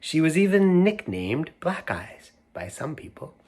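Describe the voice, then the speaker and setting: A middle-aged man reading a picture book aloud to children, gentle and unhurried, stopping to show the pictures. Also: a little high, big pitch swings, husky. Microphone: camera mic, moving toward it to show pages.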